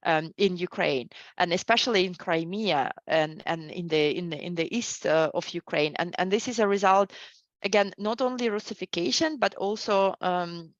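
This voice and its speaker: A middle-aged woman historian speaks in a lowish voice, answering slowly with frequent ums and restarted phrases. Her audio comes through a dry, dull video call line. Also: no echo. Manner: hesitant, many fillers and restarts. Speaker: guest historian. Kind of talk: academic interview answer.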